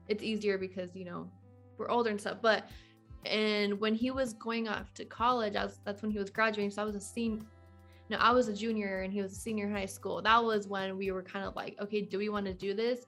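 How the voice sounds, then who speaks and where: A woman's voice: mid-range voice, a bit bright. A woman in her twenties talking casually as a podcast guest, in a chatty, informal way peppered with "like". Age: 20s. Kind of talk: casual conversational interview.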